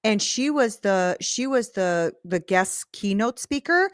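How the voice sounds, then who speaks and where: A woman's voice: medium pitch, lively intonation, slow, no room sound, studio quality. A woman hosting an interview podcast, chatty and emphatic, often checking in with "right?". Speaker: podcast host.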